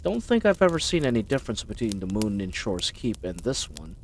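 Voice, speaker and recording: A man's voice: fairly low pitch, big pitch swings, a little fast, slight background noise. A man playing a friendly, bumbling knight in a fantasy audio roleplay, chatty and rambling, with awkward, flustered hesitations. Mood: musing, gentle. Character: friendly bumbling babbling young-hearted male knight, eager and awkward.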